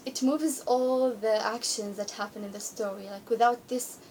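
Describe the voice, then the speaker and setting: A woman's voice: fairly high pitch, fairly even intonation, moderate pace, darkish tone, a little hiss or hum, low-quality recording. A sixteen-year-old female author from Jordan, native in Arabic and Spanish, giving simple, hesitant answers in a casual interview. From born in Spain, raised in Jordan from age seven. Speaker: young author, interview guest. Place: radio studio.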